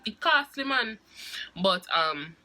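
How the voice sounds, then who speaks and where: A woman's voice: a little high, big pitch swings, slow, pushed and bright. Stuffy-nosed woman vlogging. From Jamaica. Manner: chatty, laughs often, hesitant restarts. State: stuffy from a cold. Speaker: nursing student vlogger. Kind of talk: casual chat to camera.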